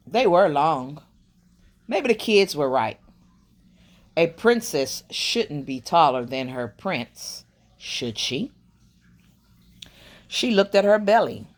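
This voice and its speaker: A woman reading a children's picture book aloud in an expressive way, with quiet murmured asides, as a bedtime storyteller. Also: a bass voice.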